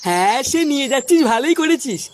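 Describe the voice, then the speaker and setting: A woman's voice: high voice, big pitch swings, quick pace, slight background noise. A woman voicing a crude, mocking village idler in a comic cartoon, coarse and teasing.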